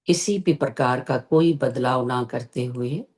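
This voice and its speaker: Meditation teacher, medium pitch, slow pace, gentle instructions, long pauses, guided session.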